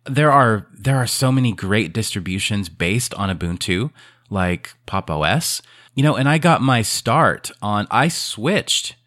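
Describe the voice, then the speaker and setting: A man's voice: fairly low pitch, expressive, measured pace, fairly bright tone, no echo, studio quality. A man hosting a podcast in a casual, thoughtful and sincere way. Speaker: podcast host.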